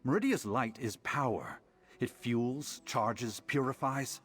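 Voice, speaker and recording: An actor playing a grave, learned mage advisor in a fantasy video game, speaking in a deliberate, formal, solemn way. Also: male voice, medium pitch, slightly rough. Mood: pondering, solemn.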